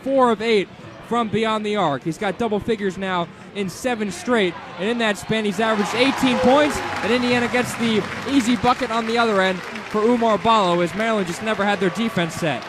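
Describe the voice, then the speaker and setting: A high-voiced American man calls live basketball on the radio. He is an excitable, animated play-by-play announcer, and a loud crowd and arena noise fill the space behind him. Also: fairly quick. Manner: animated, excitable sportscaster delivery. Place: indoor basketball arena broadcast position.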